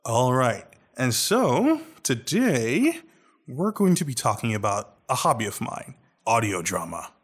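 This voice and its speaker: A young man co-hosting a casual podcast, animated and jokey, with quick bursts of speech. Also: mid-range voice, slightly rough. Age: young adult. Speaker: podcast co-host.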